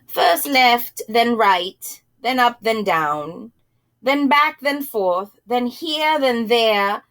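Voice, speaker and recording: A woman voicing a storybook gentleman giving muddled directions in an emphatic, listing manner. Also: fairly high pitch, expressive, extremely slow, exaggerated voice. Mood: brisk. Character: cryptic giver of rapid, muddled directions, male.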